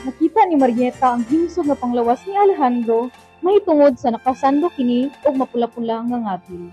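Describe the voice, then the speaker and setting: A woman's voice: high-pitched, expressive, brisk. A woman with a Filipino accent reading scripted narration for a radio drama. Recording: muffled lo-fi recording. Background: music.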